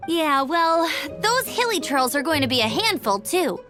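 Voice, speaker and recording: Eager young scout, very high, springy delivery. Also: female voice, sing-song, normal speed. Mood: upbeat. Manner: perky, eager. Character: young woman scout, upbeat and confident. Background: game music.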